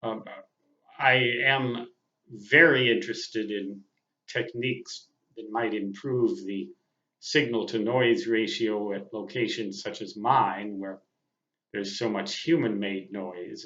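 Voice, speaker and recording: An older man speaks at an unhurried, slow pace in a fairly low voice. He addresses viewers off the cuff, with deliberate, wordy phrasing and a self-deprecating manner. The sound is roomy and echoing. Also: fairly bright tone, highs cut off. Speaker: amateur radio operator and vlogger. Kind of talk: unscripted personal monologue to viewers.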